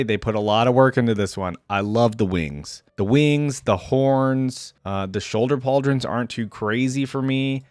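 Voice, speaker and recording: A man's voice: lowish voice, no room sound, clean studio sound. A man hosting a reaction video, commenting casually and off the cuff in a conversational way, thinking aloud as he goes.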